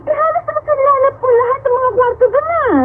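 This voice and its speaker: An actress playing a young daughter in a radio drama, whiny, tearful and pleading. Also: female voice, very high voice, quick pace, narrow, tinny audio. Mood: upset, whining. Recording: thin radio band, music behind.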